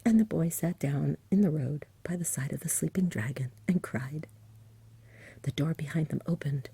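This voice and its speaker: A woman reading in a gentle, soothing, unhurried ASMR bedtime-story style, very softly. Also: low voice, creaky, husky, no echo. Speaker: ASMR reader and host. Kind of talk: soft whispered ASMR bedtime story narration.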